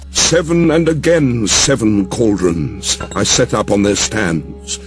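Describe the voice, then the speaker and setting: Guy narrating an epic audiobook, solemn and theatrical, slow, music underneath. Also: medium pitch. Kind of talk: dramatized scripted narration.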